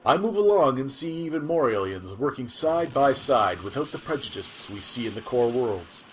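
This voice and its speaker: A man's voice: medium pitch, very animated, normal speed, soft background music, natural voice, low-quality recording. A man playing an earnest futuristic news reporter in a scripted sci-fi audio drama. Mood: earnest, curious.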